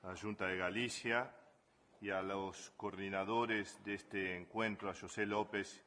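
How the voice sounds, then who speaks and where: Slow-paced Argentine man lecturing. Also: lowish voice, fairly even intonation, pushed and bright, a little hiss or hum. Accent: Argentine Spanish. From Buenos Aires, Argentina. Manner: measured, hesitant with frequent fillers. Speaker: conference speaker. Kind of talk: academic lecture.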